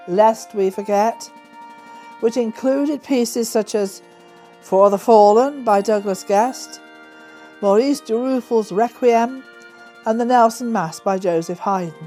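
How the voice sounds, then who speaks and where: A woman's voice: a little high, unhurried, warm tone, faint music behind. A woman reading scripted narration for a local documentary.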